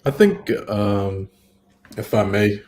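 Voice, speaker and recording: Man, low voice, measured and thoughtful, faint background noise. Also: animated, darkish tone. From United States. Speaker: panelist. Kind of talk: casual panel discussion.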